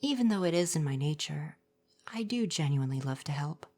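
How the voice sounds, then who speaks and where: A man playing a gentle, coy and flirty forest spirit in an ASMR roleplay, speaking softly, at times breathily, in a formal, reassuring way. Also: a little high, expressive, fairly quick. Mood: warm, shy.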